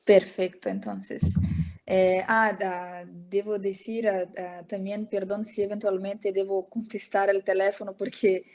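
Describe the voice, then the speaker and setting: A woman's voice: mid-range voice, little pitch movement, a little slow, darkish tone. A woman giving an academic talk over a video call, hesitant, with many fillers. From Brazil. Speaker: lecturer. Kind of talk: academic presentation.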